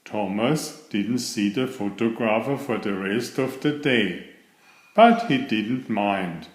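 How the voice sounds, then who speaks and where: A man reading a children's storybook aloud, telling it in an expressive and deliberate way. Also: lowish voice. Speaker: children's book reader. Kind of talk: storybook read-aloud narration. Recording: roomy, echoing voice recording.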